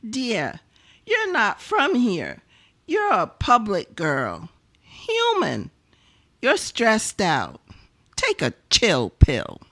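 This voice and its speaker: A woman's voice: mid-range voice, wildly swooping pitch, extremely slow, fairly bright tone, exaggerated voice. A woman playing a dismissive, patronizing veteran of show business in a radio drama. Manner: patronizing, laughing it off.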